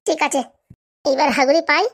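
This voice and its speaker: A woman playing a sharp-tongued, scheming young village daughter in a comic cartoon, sassy and matter-of-fact. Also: sits high, fairly even intonation, brisk, creaky. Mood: brisk, conspiring.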